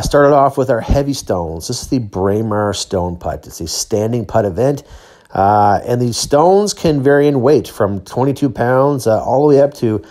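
A middle-aged man with a fairly low voice narrates a training vlog. He talks casually and plainly, explaining things step by step like an athlete sharing his routine. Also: darkish tone.

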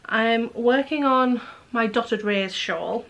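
A woman in her forties, a bit croaky, chatting casually to camera in her living room about her day and her knitting, with lots of ums. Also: on the high side, slow pace, a bit bright. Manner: chatty, rambling with many ums. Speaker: knitting vlogger. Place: living room at home, indoors. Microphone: camera close in front.

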